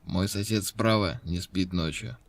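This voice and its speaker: An actor playing a suspicious seventy-six-year-old pensioner who writes to the police, with a strained voice, in a scripted lesson recording. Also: male voice, low voice, measured pace, vocal fry, no echo.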